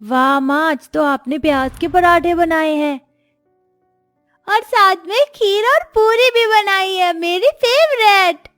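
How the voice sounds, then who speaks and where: A very high, childish voice, put on by a woman for a young cartoon schoolkid. The child is whiny and insistent, recorded dry over faint music. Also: takes it slowly, fairly bright tone, no echo, full character voice. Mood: delighted. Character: whiny, demanding young schoolchild pleading with parents. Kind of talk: Hindi moral-story cartoon dialogue.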